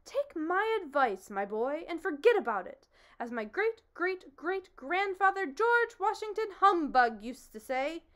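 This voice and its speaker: A woman voicing a boastful, pompous old male humbug bug who blusters loudly. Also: very high-pitched, big pitch swings, unhurried, pitched above the performer's own voice, full character voice. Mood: blustering. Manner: blustering, grandiose, loud.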